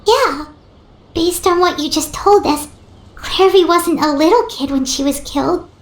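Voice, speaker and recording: A woman voicing a tiny, childlike fairy companion in a video game, plucky, curious and blunt, with a squeaky voice. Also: unhurried, reverberant, a little hiss or hum. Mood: curious, probing. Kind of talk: cartoonish video game character acting.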